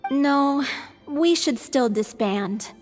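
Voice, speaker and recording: An earnest young actress from a video game, fragile but stubborn. She speaks in a high, self-effacing voice. There is long reverb and music behind it. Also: expressive, very slow, a little mellow, exaggerated voice. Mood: weary. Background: background music.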